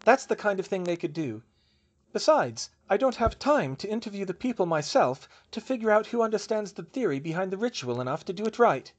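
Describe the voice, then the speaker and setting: A man's voice: high-pitched, big pitch swings, a little fast, voice pushed up. A man voicing a young British witch professor in an audiobook, passionate, defensive and emphatic. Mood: urgent, insistent. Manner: emphatic, indignant protesting.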